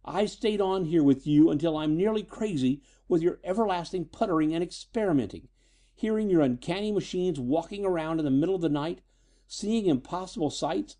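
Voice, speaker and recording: A man voicing a frightened, high-strung but defiant young woman in a storybook reading, nervous and emotional. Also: sing-song, normal speed, mellow, slightly rough. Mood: angry, defiant.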